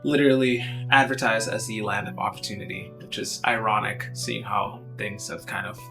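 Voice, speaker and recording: A man from Jamaica speaking in a casual conversation, measured and thoughtful with frequent pauses and fillers. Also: lowish voice, steady tone, gravelly. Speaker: guest. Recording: soft guitar music underneath.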